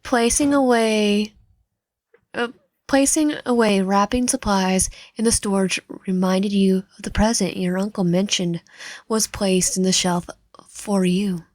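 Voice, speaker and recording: A woman reading visual novel game text aloud in a halting way, often stumbling and correcting herself. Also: medium pitch, fairly even intonation, a little mellow, no room sound.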